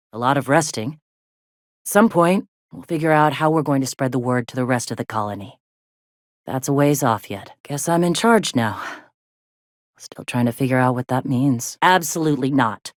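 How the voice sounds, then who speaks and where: An actress playing a weary, plain-spoken rebel lieutenant turned leader in a video game, with a blunt, folksy, colloquial manner. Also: female voice, a bass voice, dry recording, studio quality. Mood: weary, reflective, then firm, pensive. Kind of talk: scripted game dialogue.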